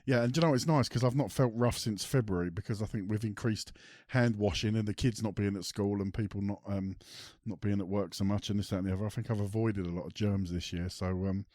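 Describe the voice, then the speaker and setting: A man's voice: on the low side, steady tone, fast talker, a little mellow, no room sound, clean studio sound. Chatty man hosting a podcast, a bit snotty. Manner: chatty, rambling, many ums and restarts. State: has a cold. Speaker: podcast host. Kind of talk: casual chat.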